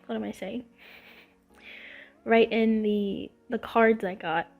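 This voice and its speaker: A woman's voice: fairly high pitch, fairly even intonation, slow pace, vocal fry. Woman, sniffly congested voice, rambling casual chat, tinny phone-like sound. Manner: chatty and rambling, with fillers and restarts. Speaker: channel host.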